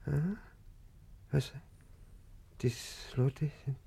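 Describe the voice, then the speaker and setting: An actor playing an anxious, ailing old banker in hiding in a 1950s radio play, husky and halting, often repeating his words. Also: on the high side, mellow, heavily acted. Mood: stunned. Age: older. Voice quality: husky, gravelly.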